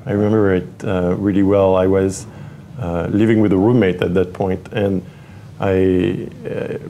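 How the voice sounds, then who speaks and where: A French man from Paris in his forties, a tech executive answering interview questions with many pauses and restarts. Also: low voice, little pitch movement, dark tone, a little hiss or hum. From France (Paris). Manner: hesitant, many fillers and restarts. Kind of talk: interview conversation.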